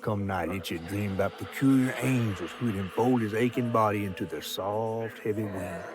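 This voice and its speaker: An actor with a Southern US accent playing a folksy storyteller, narrating a dark, sorrowful tale with drawn-out, dramatic phrasing. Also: male voice, lowish voice, close to an everyday voice. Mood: somber, folksy. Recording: music under the voice. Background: music.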